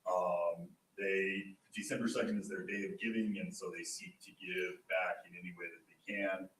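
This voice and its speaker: A man speaking at a school board meeting, relaxed and rambling with many fillers. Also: low voice, normal speed, a little hiss or hum. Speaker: parent thanking the school board. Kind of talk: casual remarks at a public meeting. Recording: echoey room, speaking from a distance.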